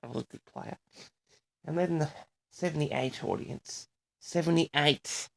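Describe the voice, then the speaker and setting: A man in his sixties from Australia hosting a personal audio log, reminiscing in a rambling, halting and boastful way straight to his listeners. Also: on the high side, vocal fry, fairly bright tone. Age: 60s. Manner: boastful, halting, often addresses the audience.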